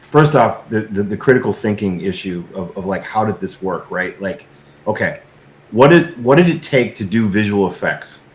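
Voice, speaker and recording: A man talking casually as a guest, halting and repeating himself insistently as he seeks agreement, with an echoey, roomy sound. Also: fairly low pitch, expressive. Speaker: guest filmmaker. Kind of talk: casual unscripted discussion.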